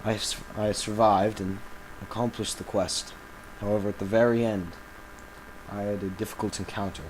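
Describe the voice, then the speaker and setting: A man playing an embattled villain recording a grave confessional audio log, speaking haltingly and solemnly with dramatic pauses. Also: fairly low pitch, little pitch movement, a little hiss or hum, natural voice, poor audio. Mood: weary, reflective.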